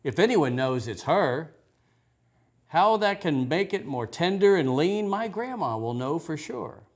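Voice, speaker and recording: A curious little girl of four read by a man from a rhyming storybook. She is puzzled and earnest, and her questions move with a lively pitch. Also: a little slow, full character voice.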